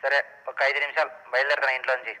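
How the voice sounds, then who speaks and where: A man's voice: mid-range voice, level tone, very fast, sharp tone, no echo. A man with a Telugu accent chatting casually, heard through a walkie-talkie radio. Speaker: reviewer's younger brother. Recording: crackly walkie-talkie speaker.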